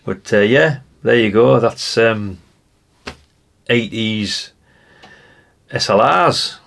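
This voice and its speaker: A man in his fifties with a lowish voice and plenty of gravelly creak. He chats casually and nostalgically as he browses, wandering from thought to thought as if thinking aloud for the viewer. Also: extremely slow, fairly bright tone. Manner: rambling, chatty, musing aloud to the viewer. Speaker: retro collector presenting a video.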